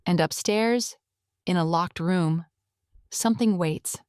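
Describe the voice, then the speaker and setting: A woman narrating a scripted audio drama in a measured, atmospheric storytelling manner. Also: on the low side, darkish tone, clean tone, no echo, studio quality.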